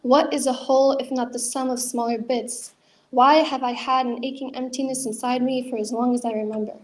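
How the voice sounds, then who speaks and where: A young woman reads her own poetry aloud at an open mic night. Her voice sits a little high, and her delivery is earnest and measured. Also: steady tone, a little mellow. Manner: earnest, measured reading with chatty asides. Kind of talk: spoken word poetry reading.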